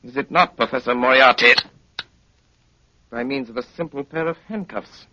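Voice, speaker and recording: An actor playing a brilliant, composed gentleman detective in a 1930s radio drama, urbane, courteous and wryly commanding. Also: mid-range voice, normal speed, natural voice. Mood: suave, controlled. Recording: muffled, crackly 1930s radio broadcast.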